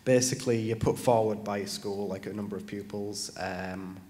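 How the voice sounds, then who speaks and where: A man's voice: fairly low pitch, steady tone, normal speed, dark tone. A teenage male student on a conference panel, explaining things casually with many basicallys and likes into a handheld mic. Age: teens. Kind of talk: panel conversation answers. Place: large conference stage. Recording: reverberant conference hall.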